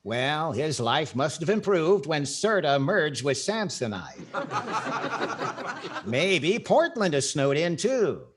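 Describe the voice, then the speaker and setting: A man's voice: fairly high pitch, big pitch swings, slow pace. An older man playing a wry, wisecracking comedy host in a 1940s radio style. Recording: clear background noise and clicks.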